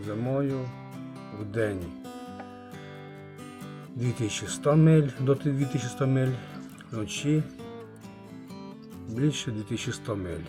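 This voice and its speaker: A man giving a casual hands-on review as a channel host, halting and thinking aloud, muttering remarks to himself. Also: mid-range voice, music in the background. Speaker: channel host, radio repairer.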